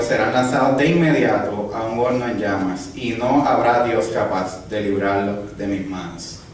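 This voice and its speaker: Puerto Rican male preacher, mid-pitched, mic set back in a reverberant church with a faint hum behind. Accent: Puerto Rican Spanish. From Puerto Rico. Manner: rhetorical questions, lively emphasis. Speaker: pastor. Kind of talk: sermon. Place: church hall. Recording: echoey hall, distant mic, faint ambience.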